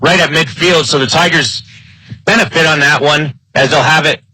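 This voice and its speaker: A man with a bright, forceful, fairly high voice calls football live. He works in short, clipped phrases as a play-by-play announcer, and the sound is close and dry. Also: moderate pace, slightly rough, no echo, rough recording. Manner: clipped phrases, building excitement on big plays. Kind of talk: live sports play-by-play commentary.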